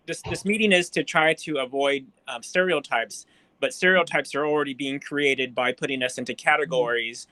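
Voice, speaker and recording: A man on a video call asking probing questions, halting, with frequent restarts and fillers. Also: medium pitch, bright, forceful tone, dry recording, rough recording. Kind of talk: questioning at a forum.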